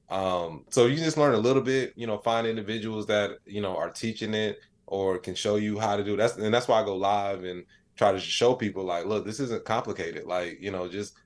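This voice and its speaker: A man in his late thirties speaking casually and colloquially as an interview guest, explaining things in plain, conversational terms. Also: on the low side. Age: late 30s. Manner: colloquial, plain-spoken, acts out little imagined dialogues. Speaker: interview guest, AI educator and comedian.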